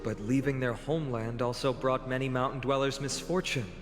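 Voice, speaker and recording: An actor playing a calm, thoughtful male companion in a video game, with a reflective, restrained way of talking. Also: medium pitch, a little fast, natural voice. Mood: pensive. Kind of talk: dramatic video game dialogue. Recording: long hall reverb, clear background music.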